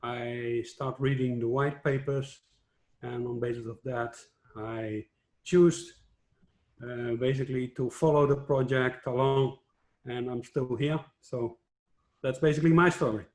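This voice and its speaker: A man, co-owner of a stake pool, introducing himself in a measured way with frequent fillers. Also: mid-range voice, steady tone, a little mellow. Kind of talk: casual panel introduction.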